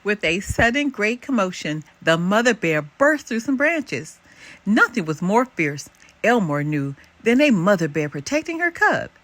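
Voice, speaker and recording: An older woman narrates a children's picture book. Her reading is expressive, with a highly animated, sing-song range at a middling pitch for a woman. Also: a little slow, poor audio.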